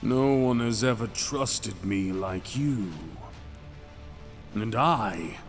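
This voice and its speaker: Dragon king, acted by a man, low-pitched and very slow; seductive, possessive menace for fantasy ASMR, music underneath. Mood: relieved, adoring. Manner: theatrical, slow purring endearments, dropping to whispers. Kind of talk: ASMR romantic fantasy roleplay.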